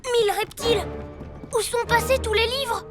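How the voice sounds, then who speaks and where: A woman voicing an excitable, naive six-year-old boy superhero in a French cartoon, with boyish exclamations. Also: extremely high pitch, moderate pace, loud music behind the voice. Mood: alarmed. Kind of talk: French cartoon dub acting.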